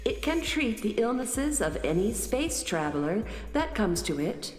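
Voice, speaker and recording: Sentient starship-planet, played by a woman: serene, grand, unhurried lilt drenched in echo, music underneath, children's radio drama. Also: fairly high pitch, big pitch swings, a little mellow. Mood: proud, explanatory. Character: serene, self-assured female intelligent starship merged with living planet. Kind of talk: children's audio drama acting. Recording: big echoing reverb over music.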